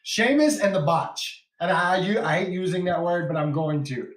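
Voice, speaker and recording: A man's voice: sits high, normal speed, echoey room. A man from West Texas with a West Texas accent, hosting a casual wrestling podcast, laughing often and reading viewer comments aloud. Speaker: podcast host.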